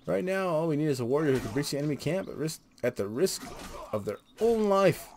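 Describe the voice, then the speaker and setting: A man's voice: a little high, sing-song, brisk, a little mellow. A man voicing a brash, scornful female army sergeant from a game, with a sarcastic, lilting delivery. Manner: sarcastic, mock leprechaun lilt. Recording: noisy home recording.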